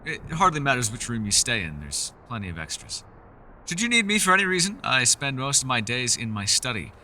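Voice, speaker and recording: A man voicing a centuries-old elf in a fantasy roleplay, gentle, socially awkward and chatty, with formal, old-fashioned, apologetic phrasing. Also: medium pitch, very animated, fairly quick, a little hiss or hum. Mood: welcoming. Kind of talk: fantasy ASMR roleplay voice acting.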